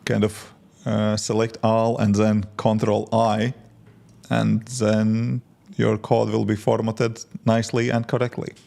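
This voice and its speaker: A man in his forties from Latvia with a Latvian accent, chatting casually with viewers while coding and answering their questions with halting restarts. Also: on the low side, monotone, vocal fry, mellow. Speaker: indie app developer streaming. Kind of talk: casual live-stream chat while coding.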